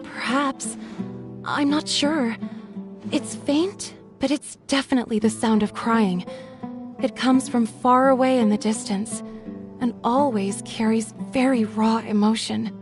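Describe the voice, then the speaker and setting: A woman voices a withdrawn young villager in a video game who speaks softly and hesitantly, always on guard. Her voice sits a little high, with clear game music underneath. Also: unhurried, close to an everyday voice. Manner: soft-spoken, hesitant and guarded. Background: game background music.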